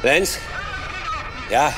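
A man's voice: drawn-out words, over music, a crowd in the background. An actor playing a harried male police investigator in a radio crime drama, with a wry, colloquial manner. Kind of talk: naturalistic German radio crime drama acting.

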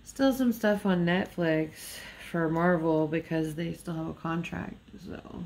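A woman's voice: lowish voice, unhurried, creaky, darkish tone. A woman just woken up, chatting in a rambling, nasal voice with fillers and small laughs while she works on a craft at a table indoors. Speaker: diamond painting vlogger.

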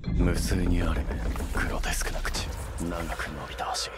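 An actor playing a self-possessed young fantasy hero in a video game, calm and understated. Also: male voice, low voice, moderate pace, loud music behind the voice, lightly acted. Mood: grim resolve. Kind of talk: dramatic video game acting.

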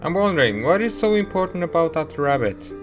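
A man's voice: on the high side, sing-song, brisk. A man giving casual let's play commentary as he plays a video game. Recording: game music behind voice.

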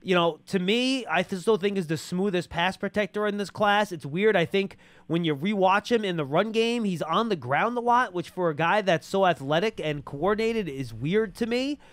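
A man's voice: sits high, moderate pace, hardly any pauses, no room sound. A man in his forties hosting a conversational sports interview at a close studio microphone. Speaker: sports talk show host. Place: small indoor podcast studio. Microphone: close boom studio microphone.

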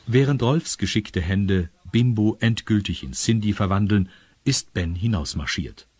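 Low, very husky male narrator. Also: a little slow, darkish tone, dry recording. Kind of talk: scripted children's story narration.

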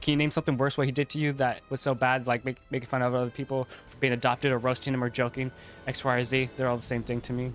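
A man's voice: medium pitch, flat delivery, fast, faint music behind. Stammering young man arguing in voice chat. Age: 20s. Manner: stammering, repeats himself when pressed. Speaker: video creator calling out another player. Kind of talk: heated voice chat argument.

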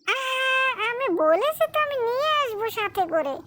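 Woman doing tiny-pitched, plaintive poor maid. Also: very animated, normal speed. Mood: flustered. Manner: plaintive, deferential. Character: poor, meek housemaid, worried long-suffering mother. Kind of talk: cartoon dialogue. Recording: noisy track, chirping birds behind.

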